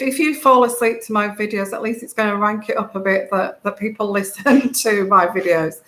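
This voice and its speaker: A woman with a soft, soothing voice, hosting a casual tarot livestream, rambling with many fillers and greeting viewers by name. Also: mid-range voice, echoey room. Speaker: tarot reader and channel host.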